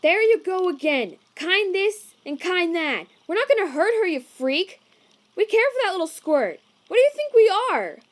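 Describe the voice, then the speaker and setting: A woman voicing a combative, protective monster, brash and slangy, in an animated comic dub. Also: extremely high pitch, moderate pace, pitched above the performer's own voice. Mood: furious.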